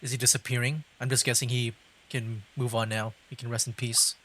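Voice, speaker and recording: A man with a medium-pitched voice commentates on a game live. His delivery is casual and hesitant, as if thinking out loud while he streams. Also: level tone, brisk, slight background noise.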